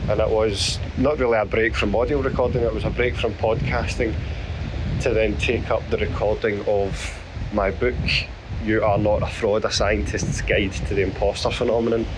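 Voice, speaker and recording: A middle-aged Scottish man with a hoarse voice, hosting his podcast as a rambling spoken diary while walking on a beach. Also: fairly low pitch. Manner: rambling, self-deprecating, halting. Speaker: podcast host. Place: outdoors on a beach. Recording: outdoor recording with clear background noise.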